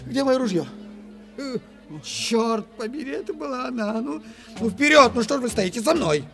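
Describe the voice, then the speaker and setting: A blustering fairy-tale shopkeeper, high-voiced and gravelly. He is excitable, splutters and repeats himself, in old radio-play style. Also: normal speed, over music. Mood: frantic, furious. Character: blustering, excitable male sausage-shop keeper, easily outwitted. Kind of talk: Soviet 1970s radio-play acting.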